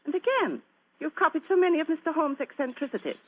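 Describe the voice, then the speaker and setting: A woman playing a doctor's affectionate, gently teasing young wife in an old-time radio drama, with a genteel manner. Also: sits high, animated, fast. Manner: bright, genteel, wifely teasing. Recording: narrow-band old radio recording with hiss.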